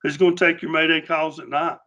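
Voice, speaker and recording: A middle-aged man giving an informal workplace safety training talk in a plainspoken, halting, folksy manner. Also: on the high side, little pitch movement, bright, forceful tone, muffled recording.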